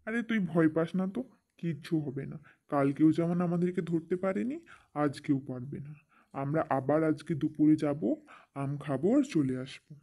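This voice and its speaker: A sly, carefree bird from a children's cartoon, voiced by a man. He sounds mellow and slightly high for a male, coaxing and breezy as a schemer. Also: moderate pace. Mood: dismissive, confident. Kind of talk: children's cartoon story dialogue.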